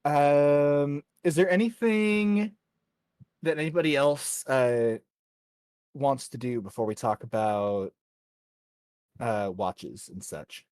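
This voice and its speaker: A man's voice: on the high side, takes it slowly, long pauses, darkish tone, clean tone, dry recording. Easygoing man running a tabletop game. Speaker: game master. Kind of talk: casual tabletop game session narration.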